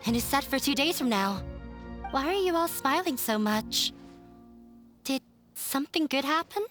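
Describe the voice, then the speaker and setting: A woman's voice: high voice, expressive, normal speed. A woman voicing a composed, friendly young woman character in a video game. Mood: pleased, relieved. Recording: music behind game dialogue.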